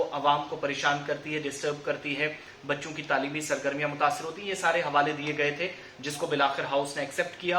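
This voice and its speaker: A male news presenter with a bright, forceful voice, pitched a little high. He reports in a wordy, explanatory way, restating points in other words, with fairly even intonation. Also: reverberant, slight background noise.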